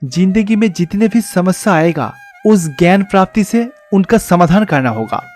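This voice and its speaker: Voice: mid-range voice, animated, normal speed, darkish tone, close to an everyday voice. Kindly male teacher-god played by an actor, storybook tale, patient mentor delivery, music bed. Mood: calm, reassuring. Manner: patient, advising, encouraging.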